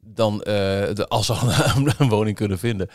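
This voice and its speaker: Fast-talking middle-aged man, lowish voice. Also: no echo, studio quality. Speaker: podcast co-host. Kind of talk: casual chat.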